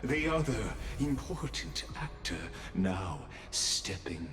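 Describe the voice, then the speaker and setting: A man's voice: mid-range voice, big pitch swings, slow, a bit bright. A man playing a grave, ominous video game warrior villain with solemn, declamatory delivery. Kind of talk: dramatic video game voice acting. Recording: game music over the voice. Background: game music.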